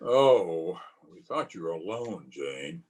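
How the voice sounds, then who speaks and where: A man's voice: low voice, very animated, takes it slowly, heavy background noise, heavily acted. A man playing a stern, dry, formal middle-aged father in an old-time radio drama. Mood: reserved. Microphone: video call.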